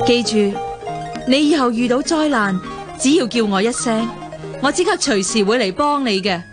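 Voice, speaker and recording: A woman's voice: a little high, normal speed, over music, natural voice. An actress in a radio drama playing an imperious, insistent goddess who poses as an old beggar woman. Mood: regal, gracious. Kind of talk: Cantonese radio drama acting.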